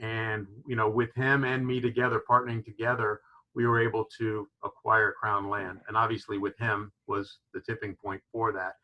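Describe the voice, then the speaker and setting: A man's voice: on the low side, level tone, normal speed, highs cut off. A man from Florida who owns a fishing lodge, explaining with enthusiasm and many "you know" fillers on a video call. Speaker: fishing lodge owner, guest. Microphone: video call microphone.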